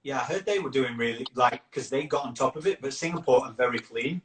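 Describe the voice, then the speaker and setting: A man's voice: mid-range voice, fast, fairly bright tone. Echoey, noisy northern English man. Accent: northern English, softened by years in Australia. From Yorkshire, northern England. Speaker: campaign founder hosting a live chat. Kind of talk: casual live chat. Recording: echoey room with clear background noise.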